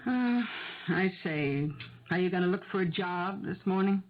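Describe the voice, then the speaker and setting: A woman's voice: lowish voice, expressive, moderate pace, lightly acted. An actress playing a middle-aged working mother, weary and plain-spoken, in a 1970s radio drama. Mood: gently chiding. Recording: dull vintage radio recording.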